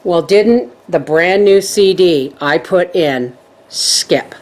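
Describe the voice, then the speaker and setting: Woman, middle-aged, casual vlog chat, animated storyteller, somewhat low voice. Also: slow pace. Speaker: homestead vlogger.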